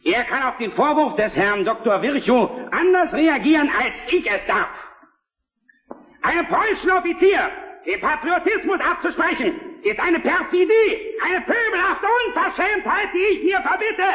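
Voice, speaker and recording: In a reverberant hall, an outraged military minister holds forth. His pitch is extremely high and swings widely, stiff and emphatic in the manner of historical radio drama. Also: big pitch swings, moderate pace, sharp tone, lo-fi sound, exaggerated voice. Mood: indignant. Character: indignant, unyielding Prussian war minister in uniform. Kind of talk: German historical radio play acting. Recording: echoey hall with crowd noise behind.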